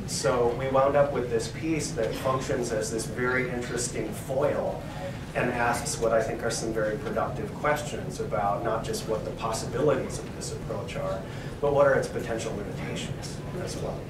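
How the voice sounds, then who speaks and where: A man's voice: mid-range voice, steady tone, moderate pace. Male academic speaker, thoughtful and hedging, recorded from afar in a noisy, echoey room. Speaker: professor and co-editor giving a library talk. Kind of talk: discussion in an academic talk. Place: room in a university library. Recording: echoey room, distant mic, background noise.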